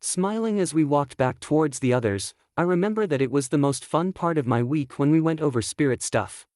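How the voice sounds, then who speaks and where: A man's voice: fairly high pitch, brisk, a little mellow, no room sound, studio quality. A man reading scripted first-person story narration as the author of a fantasy audiobook.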